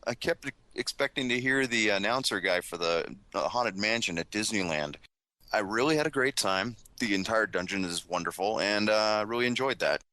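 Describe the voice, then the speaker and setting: A man's voice: fairly low pitch, expressive, normal speed, bright, forceful tone, dry recording. A man in casual conversation as a podcast panelist. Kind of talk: casual chat.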